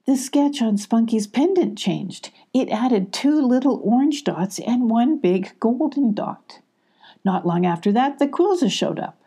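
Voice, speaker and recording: Woman as an earnest young storybook girl, husky. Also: on the high side, expressive, a little slow, warm tone, voice pushed up, heavily acted. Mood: explaining.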